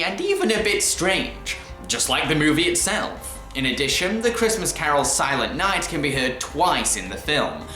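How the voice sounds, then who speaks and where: A man narrating a scripted video film review in an enthusiastic, expressive manner. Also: on the high side. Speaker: film reviewer and show host. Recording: roomy, echoey voice track.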